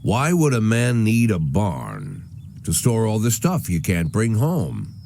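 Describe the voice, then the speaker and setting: An actor playing a bitter, aging police chief who narrates in a world-weary, sardonic, deliberate way for a noir game. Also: male voice, on the low side, wildly swooping pitch, gravelly, warm tone, a little hiss or hum, heavily acted. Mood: wry, brooding. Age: older.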